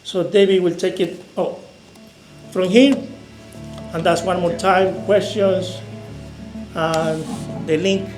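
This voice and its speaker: Echoey, high-voiced man presenting research. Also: fairly even intonation, takes it slowly, pauses often, over music. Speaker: researcher presenting at an industry event. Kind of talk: conference presentation. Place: large echoey conference room. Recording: echoey conference hall.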